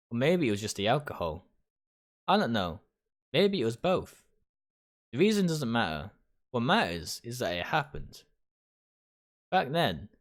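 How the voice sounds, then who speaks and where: A man reading first-person narration aloud, stumbling over words now and then and rereading phrases. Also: medium pitch. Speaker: game streamer reading a visual novel aloud. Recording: music behind the voice.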